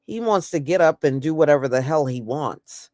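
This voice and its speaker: A woman's voice: deep voice, expressive, normal speed, warm tone, no echo, studio quality. A woman with an American accent giving blunt, folksy, casual and opinionated commentary as a livestream host. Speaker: host of an online news commentary stream.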